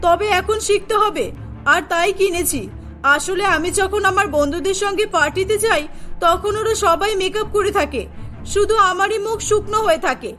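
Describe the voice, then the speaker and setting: A woman's voice: very high-pitched, moderate pace, pushed and bright. A woman playing a bossy, shopping-mad middle-aged mother-in-law in a cartoon story, brusque and theatrical. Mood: self-justifying. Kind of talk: dramatised cartoon story dialogue. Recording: voice over prominent background music.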